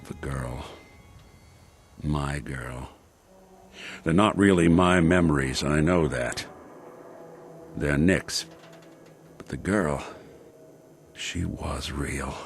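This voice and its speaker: An actor playing a weary, determined middle-aged robot private detective in a video game, with a wry, hard-boiled noir way of talking. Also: male voice, very deep voice, slight background noise, heavily acted. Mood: haunted, resolute.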